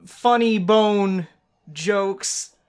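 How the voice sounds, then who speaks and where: A man's voice: high voice, extremely slow, smooth. A man giving casual, playful commentary as a gaming co-host, fond of puns. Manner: playful, pun-loving.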